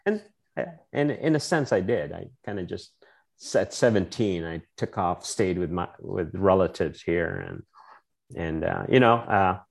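Low-voiced man recalling his past. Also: expressive, unhurried, creaky, breathy edge. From Philippines. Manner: reflective, storytelling, many restarts and fillers. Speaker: guest. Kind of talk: casual interview conversation.

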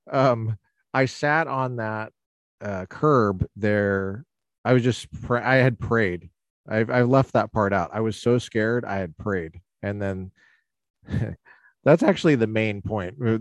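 Animated, low-voiced male storyteller. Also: no echo. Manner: animated storyteller, frequent restarts. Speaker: podcast host. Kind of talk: casual storytelling in conversation.

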